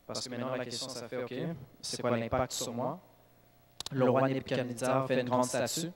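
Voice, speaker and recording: A man, a native English speaker, preaching in a church with lively bursts of emphasis and frequent restarts. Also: medium pitch, moderate pace. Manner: animated preaching with restarts and self-corrections. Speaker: youth speaker. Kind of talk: sermon.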